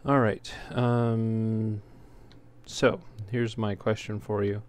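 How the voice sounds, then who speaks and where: A man's voice: on the low side, flat delivery, slow. A man giving casual, unscripted gameplay commentary as a let's play host, talking in an easygoing, hesitant ramble. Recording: clearly noisy home recording.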